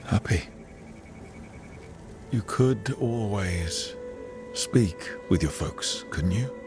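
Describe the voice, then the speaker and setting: Game lead: reassuring adventurer, fairly low voice, casual and wry, prominent music underneath. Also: male voice, animated, drawn-out words, full character voice. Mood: gentle, reassuring. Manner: casual, reassuring, lightly teasing. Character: easygoing, supportive adventurer hero with dry humour. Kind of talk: video game dialogue acting.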